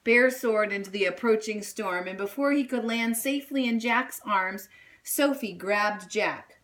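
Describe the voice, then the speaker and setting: A woman reading a children's story aloud in an expressive style. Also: medium pitch, measured pace. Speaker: storybook reader.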